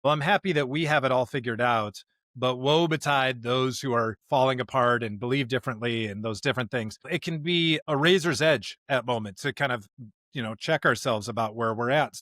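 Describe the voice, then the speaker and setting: A man co-hosting a podcast in a conversational discussion of scripture, speaking emphatically in halting bursts and often checking in with a rhetorical question. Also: mid-range voice, a bit bright, no echo. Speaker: podcast co-host.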